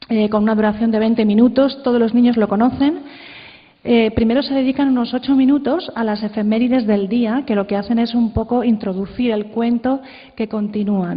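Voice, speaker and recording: A woman from presenting informally at a conference, halting and conversational, often adding tag questions. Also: a little high. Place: large hall at a conference. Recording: muffled, reverberant hall sound.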